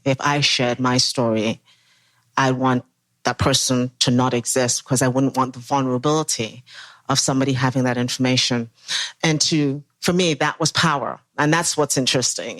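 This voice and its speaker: A man's voice: medium pitch, a bit bright, no echo, rough recording. Man telling a story, dry close sound. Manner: measured storytelling. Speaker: former assistant pastor, panel guest. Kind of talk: personal story in a podcast discussion.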